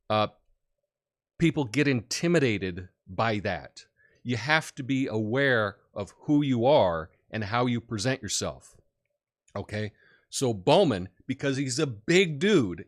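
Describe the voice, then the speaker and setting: A man hosting a political commentary show, who talks in an opinionated, rambling way with many fillers and has a big booming voice. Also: fairly bright tone. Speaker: podcast host.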